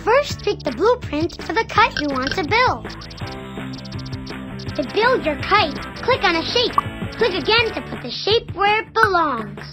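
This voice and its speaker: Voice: very high-pitched, very animated, measured pace, music in the background, heavily acted. A child-voiced performer playing an eager, friendly little boy who gives enthusiastic praise and instructions in an educational cartoon game.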